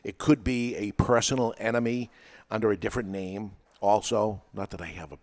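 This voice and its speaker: A man's voice: on the low side, fairly even intonation, normal speed, a little mellow. A middle-aged man with a New England accent, hosting a podcast in a casual, chatty way, repeating words as he talks. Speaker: podcast host.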